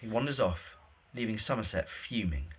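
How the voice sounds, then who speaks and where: A man's voice: on the low side, expressive, moderate pace, husky. A man reading scripted, scene-setting narration for a period drama.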